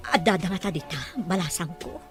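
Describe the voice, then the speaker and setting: A woman's voice: on the low side, a little fast, music in the background, close to an everyday voice. A woman with an Ilocano Filipino accent playing an anxious, loving middle-aged mother in an audio drama. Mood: eager. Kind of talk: radio-drama dialogue.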